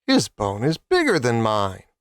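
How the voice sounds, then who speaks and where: A man's voice: mid-range voice, wildly swooping pitch, unhurried, clean tone, dry recording. Man as a greedy, demanding storybook dog. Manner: barking, demanding. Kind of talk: children's fable storytelling.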